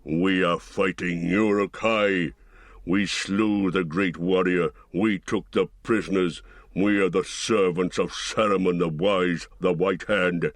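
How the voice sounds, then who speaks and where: A man voicing a huge, brutal orc captain with a deep, growling voice and slow, blunt commands in an audiobook. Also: no room sound, clean studio sound. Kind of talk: audiobook character voice.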